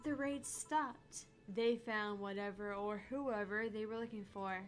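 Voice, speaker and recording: A woman voicing a dry, weary, deadpan bartender in a cyberpunk visual novel. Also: medium pitch, unhurried, faint music behind, natural voice.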